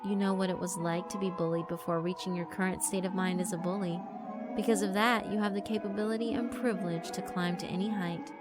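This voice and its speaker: A woman's voice: mid-range voice, fairly even intonation, a little fast, natural voice. A woman voicing a sweet, persuasive female figure who praises the bullies' cruelty. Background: background music.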